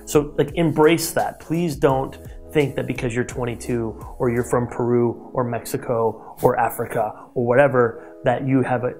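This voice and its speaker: Upbeat motivational male interview host. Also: medium pitch. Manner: energetic, motivational, gestures while talking. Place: glass-walled open office, indoors. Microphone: lapel.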